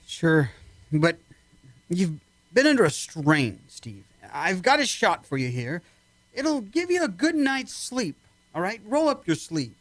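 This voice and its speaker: Military doctor, played by a man, soothing and skeptical, animated intonation, dry radio-drama sound. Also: high-pitched, slow pace, dry recording, full character voice, poor audio. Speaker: actor in a radio play. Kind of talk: amateur radio drama acting.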